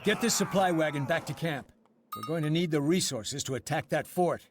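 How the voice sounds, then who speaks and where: An actor playing a determined, gruff frontier leader in a dramatic video game, with terse, resolute delivery. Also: male voice, fairly high pitch, animated, normal speed, music in the background, close to an everyday voice.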